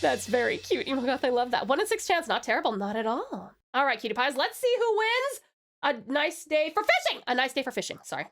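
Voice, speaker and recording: A woman's voice: sits high, sing-song, brisk, a bit bright, faint music behind. A woman hosting a chatty livestream in a put-on, exaggerated California Valley Girl accent, gushing and giggly with sugary pet names for viewers. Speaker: livestream host.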